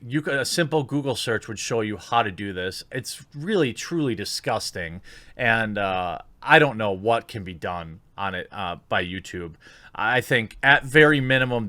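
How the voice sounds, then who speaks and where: A man's voice: medium pitch, bright, forceful tone, dry recording. A man giving disapproving, emphatic opinion commentary as an online host, moving between reading out and speaking off the cuff.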